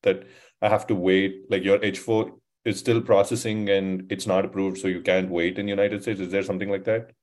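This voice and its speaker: A man calling into a conference call, asking questions in a halting way and rephrasing as he goes. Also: low-pitched, level tone, a little mellow. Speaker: caller.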